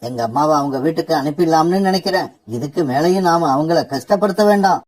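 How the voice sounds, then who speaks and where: Someone doing a husky gentle dad bird from a cartoon, mid pitch, kinda pleading. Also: fast, lightly acted. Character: gentle father bird, husband. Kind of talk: cartoon dub acting.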